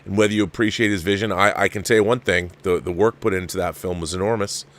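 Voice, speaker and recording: Low-voiced man giving emphatic film opinions. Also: steady tone, fast, fairly bright tone. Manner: emphatic, rambling, repeating phrases for emphasis. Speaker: host of a live movie review show. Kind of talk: casual livestream film commentary, reading viewer messages.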